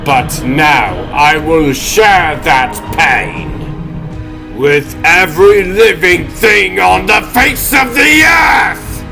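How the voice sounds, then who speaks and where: A vengeful villain in a comic dub, with a gravelly, creaking voice. His grandiose delivery is very slow and swings widely in pitch, bent on destruction. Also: animated, pushed and bright, soft background music, full character voice. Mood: vengeful rage. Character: vengeful male Atlantean madman bent on destroying all life.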